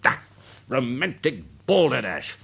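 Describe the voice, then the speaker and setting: Pompous, swooping upper-class English major. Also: male voice, medium pitch, sing-song, unhurried, gravelly, fairly bright tone, breathy edge, muffled recording, full character voice. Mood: vexed, blustering. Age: older. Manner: pompous, blustering, theatrical. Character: pompous, snobbish older Victorian major, fussy and easily vexed. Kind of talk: British radio drama acting.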